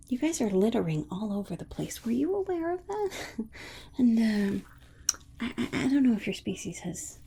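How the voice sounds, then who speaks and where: Woman playing a whispering, nervous alien scientist. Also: medium pitch. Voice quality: breathy whisper with creaky soft voice. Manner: hesitant, stammering, awkwardly reassuring, nervous giggles. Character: nervous, chatty, well-meaning alien scientist abducting a human. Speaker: ASMR performer. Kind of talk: ASMR roleplay, whispered and soft-spoken.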